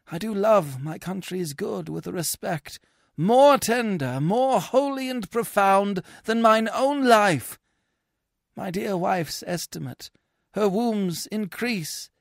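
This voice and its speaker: A man's voice: sits high, gravelly, no room sound, clean studio sound, pitched above the performer's own voice, exaggerated voice. A man voicing a former Roman consul who pleads earnestly to be heard.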